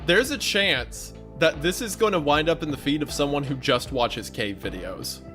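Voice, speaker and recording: A man's voice: on the high side, lively intonation, normal speed, pushed and bright, faint music behind. A man giving casual spoken commentary in an informal way.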